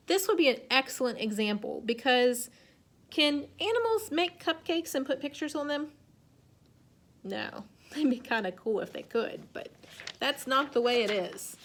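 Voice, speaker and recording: High-voiced woman teaching young children. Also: animated, moderate pace. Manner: instructive, asks questions and answers them, simple child-directed phrasing. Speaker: elementary school teacher. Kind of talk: read-aloud lesson addressed to young children.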